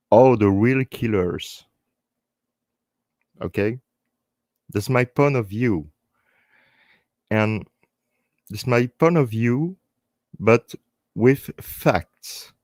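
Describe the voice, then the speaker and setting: A man with a French accent and French as his first language, hosting a live stream in halting English, speaking earnestly and unscripted in short phrases with repeated words. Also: on the low side, a little mellow, no room sound, studio quality. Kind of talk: earnest unscripted talk to online viewers.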